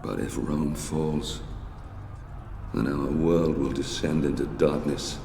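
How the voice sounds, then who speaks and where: A brooding Roman soldier, gives a solemn, vengeful game monologue. His voice is extremely deep and slow, weighty with portent. It rings in a long, hall-like reverb. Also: fairly even intonation, gravelly, warm tone, heavily acted. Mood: grim resolve. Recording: long hall reverb, faint background noise.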